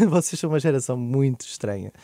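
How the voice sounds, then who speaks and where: A man in his thirties with a European Portuguese accent, chatting as a podcast guest. His voice is warm and mellow, recorded close and dry, and he tells his story in quick, animated bursts that drop into quiet, trailing asides. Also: medium pitch, creaky, dry recording. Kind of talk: casual interview storytelling.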